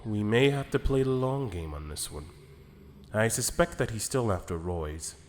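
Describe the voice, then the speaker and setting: Man playing a calm, calculating celestial adviser, low-pitched. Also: big-room sound, slight background noise, lightly acted. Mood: plotting. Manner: measured, formal, deliberate. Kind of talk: scripted anime fan roleplay drama.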